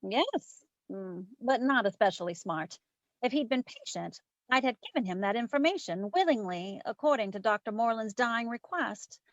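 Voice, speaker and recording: A woman's voice: on the high side, expressive, normal speed, no room sound, lo-fi sound, close to an everyday voice. A woman playing a wisecracking, self-assured female private eye in a wry, slangy 1940s radio detective style. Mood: wry recounting. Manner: wry, slangy, theatrical storytelling. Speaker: community theatre voice actor.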